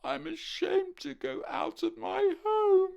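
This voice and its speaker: Woman doing a squeaky, anxious little ladybird girl, storybook, slow and tearful. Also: creaky, darkish tone, exaggerated voice. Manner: sobbing, earnest, self-conscious. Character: timid, anxious young female ladybird. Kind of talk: storybook character voice.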